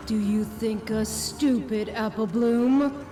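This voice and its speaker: A woman's voice: a little high, steady tone, recorded from a distance, background noise. A woman playing an undead, rot-corrupted pony sorceress in an audio drama, contemptuous and condescending. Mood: scornful. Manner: slow, condescending.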